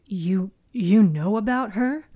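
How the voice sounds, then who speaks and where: A woman performs a shaken young male in a spooky fiction podcast. He speaks slowly and softly, stammering in earnest dread, with a mellow tone. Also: mid-range voice. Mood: shaken, hesitant. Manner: halting, stammering.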